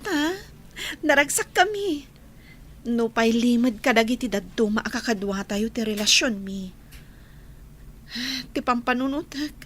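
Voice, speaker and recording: A woman playing a gentle, slightly anxious young village woman in love in an Ilocano radio drama. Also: on the high side, a little hiss or hum, lightly acted.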